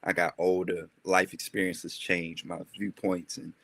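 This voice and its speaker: A man's voice: deep voice, measured pace, creaky, no room sound. A young man from Maryland, a rapper, telling stories in a casual interview with lots of fillers and tangents. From Maryland, USA. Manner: rambling, animated storytelling with many fillers.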